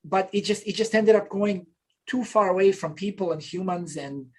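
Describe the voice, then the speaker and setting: Man in his 50s from bosnia, slightly high voice, thoughtful guest chatting and restarting a lot. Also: normal speed. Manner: reflective, frequent restarts. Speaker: interview guest. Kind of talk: casual conversational storytelling.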